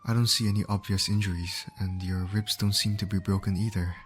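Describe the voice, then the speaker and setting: A man playing a gentle, reassuring ambulance medic in an ASMR comfort roleplay, speaking in a soothing, coaxing, attentive way. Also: on the low side, fairly even intonation, brisk, a little hiss or hum, lightly acted. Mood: calm, reassuring. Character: gentle, reassuring male ambulance medic tending an injured patient.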